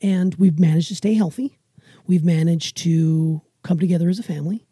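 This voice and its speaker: A woman's voice: lowish voice, fairly even intonation, normal speed, dark tone, no echo, clean studio sound. A middle-aged woman, chatting emphatically and with self-assurance as a podcast co-host. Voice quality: raspy. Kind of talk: casual chat with emphatic opinion.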